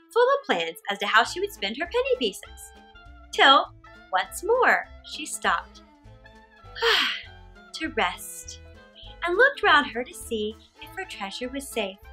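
A middle-aged woman tells a children's story in a very high, swooping voice. She takes her time and draws words out theatrically, with music playing behind her. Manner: theatrical, expressive, with drawn-out words. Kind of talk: animated read-aloud storytelling for young children.

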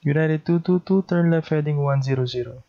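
A man's voice: on the high side, a little fast. A man with a Filipino accent speaking in the clipped, procedural style of an air traffic control demonstration, on a dull lo-fi recording.

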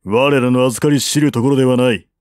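A man's voice: medium pitch, steady tone, a little fast, darkish tone, no echo, studio quality, natural voice. A man reading the part of a proud, loyal general of a fallen dynasty, with stern, formal declamation. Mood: firm, resolute.

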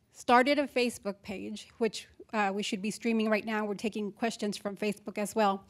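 Chatty higher-voiced county official. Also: female voice. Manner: chatty, self-aware, informal. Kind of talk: public meeting remarks. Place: large courtroom with audience.

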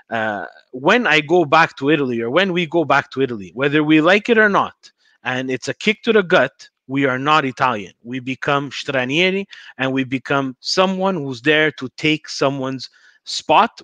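A man's voice: medium pitch, sharp tone, no echo. A man in his forties hosting a podcast on a headset, talking in long rambling runs with frequent stutters. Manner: rambling, emphatic, many stutters. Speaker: podcast host. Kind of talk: casual video-call interview. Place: home room indoors.

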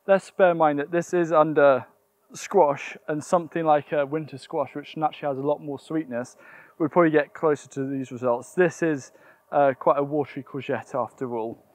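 A man's voice: a little high, no echo. A young man presenting a casual gardening vlog outdoors, explaining things and often thinking aloud. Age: young adult.

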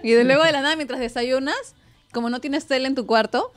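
A woman's voice: high-pitched, quick pace. A woman in her twenties with a Peruvian accent, a radio host chatting playfully and informally in a studio. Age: 20s. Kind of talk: casual on-air chat. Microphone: broadcast studio microphone on arm.

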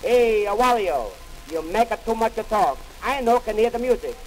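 A man voicing a loud, indignant Italian barber with a stage Italian accent, in 1920s dialect comedy record style. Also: high-pitched, lively intonation, normal speed, soft background music. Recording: hissy, noisy 1920s 78 rpm record.

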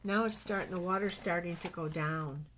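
An older woman reading a picture book aloud to young children, exclaiming and pointing things out with drawn-out words. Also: fairly low pitch, fairly bright tone. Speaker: storytime reader for children. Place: small office, indoors. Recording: noisy webcam recording with clicks.

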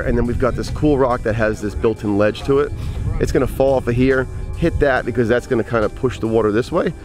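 A man's voice: medium pitch, a little mellow. A man in his forties hosts a walkthrough of an outdoor build, explaining each step in an upbeat way to a camera held close. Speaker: pond builder and channel host. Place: outdoors at a backyard construction site. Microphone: handheld camera held close.

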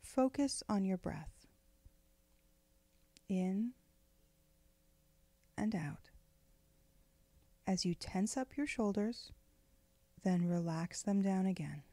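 A woman leading a guided meditation in a gentle, unhurried, soothing way, with phrases often trailing off into breath. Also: medium pitch, lively intonation, no echo. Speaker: meditation guide, spiritual director.

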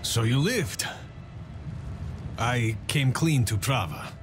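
An actor playing a weary, rough-edged soldier in a video game cutscene, blunt and plainspoken. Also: male voice, medium pitch, lively intonation, slow, music in the background. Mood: uneasy.

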